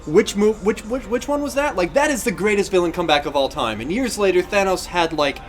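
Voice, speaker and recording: A man in his thirties chatting casually as a podcast co-host, halting and wandering off on tangents. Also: high voice, lively intonation, soft background music. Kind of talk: casual chat about games and films.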